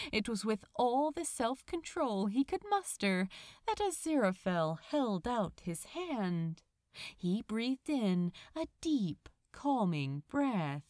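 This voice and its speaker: A woman narrating a story expressively, with deliberate, dramatic phrasing. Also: fairly high pitch, no room sound, clean studio sound. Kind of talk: expressive scripted fan fiction narration.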